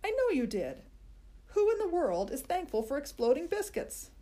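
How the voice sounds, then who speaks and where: Lady doing a bossy know-it-all little girl, scolding, slow and drawn out. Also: sits high, darkish tone, pitched above the performer's own voice. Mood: indignant.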